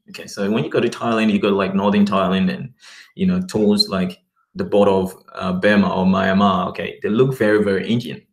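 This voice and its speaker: Low-voiced man rambling casually. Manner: rambling, repeats okay, reads web text aloud slowly. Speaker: vlogger. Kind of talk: casual talk to camera.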